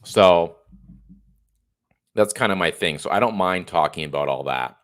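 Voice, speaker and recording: Congested man with a low voice. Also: expressive, unhurried. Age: 30s. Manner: chatty, rambling, opinionated. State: congested and a little tired. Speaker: political livestream host. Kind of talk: casual solo livestream monologue.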